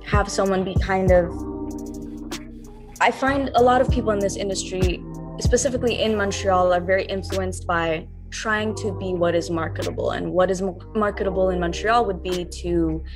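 A woman's voice: mid-range voice, steady tone, moderate pace, music in the background. A young woman, an R&B singer-songwriter, answering interview questions in a thoughtful, earnest way, with many fillers and restarts.

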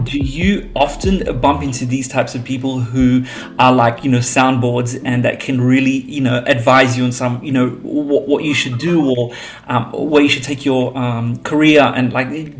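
A man's voice: medium pitch, steady tone, normal speed. A man interviewing a singer, asking long, rambling questions full of hesitations and fillers.

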